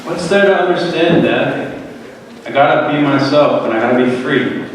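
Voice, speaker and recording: A man's voice: on the high side, moderate pace, natural voice. A man playing a flippant, stubborn young prodigal son in a dramatic reading, casual and sardonic. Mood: flippant, defiant. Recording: very echoey hall from far off, faint noise.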